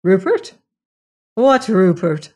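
A woman's voice: medium pitch, very animated, slow, dark tone. A woman voicing an earnest, solemn young nobleman in a storybook tale.

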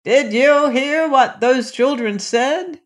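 A woman's voice: mid-range voice, lively intonation, unhurried, pitched above the performer's own voice, lightly acted. A woman voicing an earnest, honest old man in a storybook tale. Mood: alarmed. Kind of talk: storybook character voice.

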